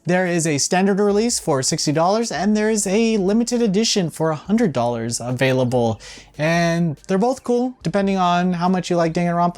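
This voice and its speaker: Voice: medium pitch, darkish tone. A video game news host running through releases in a casual style, with wry, offhand asides. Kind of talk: casual news rundown with off-the-cuff commentary.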